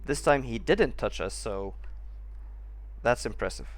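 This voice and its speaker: A man's voice: medium pitch, lively intonation, unhurried. A man giving casual, rambling playthrough commentary as a gaming streamer, close to the mic.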